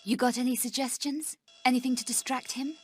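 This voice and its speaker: A woman's voice: high-pitched, fairly even intonation, fairly quick, faint music behind, natural voice. A woman playing a cool, sardonic, fearless British adventurer in a video game, speaking with dry, clipped, haughty wit.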